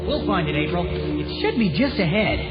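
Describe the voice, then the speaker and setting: A man playing a cocky, joking teenage mutant turtle, excitable, in a children's audio drama on dull tape. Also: high-pitched, moderate pace. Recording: dull lo-fi tape, loud music behind.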